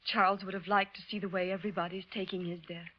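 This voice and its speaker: Woman acting bereaved genteel widow, American, medium pitch, polite, plaintive, rambling, radio drama, dull vintage recording. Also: level tone, fast talker, natural voice. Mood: tearful, wistful. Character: grieving, genteel widow, a mother prattling sentimentally. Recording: muffled old radio recording.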